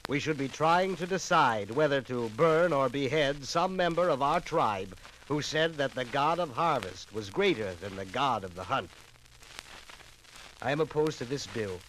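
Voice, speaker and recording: A man's voice: mid-range voice, a bit bright, natural voice. An actor playing an impassioned male legislative orator in a 1950s radio drama, declaiming formal, emphatic rhetoric. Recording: noisy 1950s radio record.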